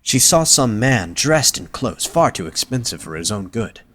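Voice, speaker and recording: A man's voice: mid-range voice, sing-song, normal speed, a bit bright. A man reading scripted narration for an audio drama as its narrator.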